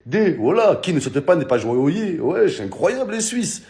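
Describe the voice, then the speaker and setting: A man doing a casual comic rant, mocking and animated, now and then breaking into shouts. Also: a little high, moderate pace, noisy background. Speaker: comedian.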